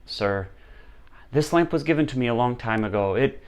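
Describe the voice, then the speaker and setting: Man playing a humble, polite young monk, lively intonation. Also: mid-range voice, moderate pace, smooth, natural voice. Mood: politely refusing. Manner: polite, gentle, deferential. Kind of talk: storytelling character voice.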